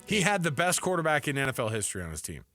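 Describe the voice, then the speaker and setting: A man's voice: on the high side, big pitch swings, racing, bright, forceful tone, faint music behind. An American man co-hosting a sports talk show, bantering casually and reacting with sarcastic disbelief.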